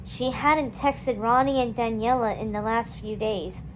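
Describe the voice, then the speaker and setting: A woman's voice: a little high, a little slow, slight background noise, rough recording. A woman narrating a children's novel aloud as a storyteller.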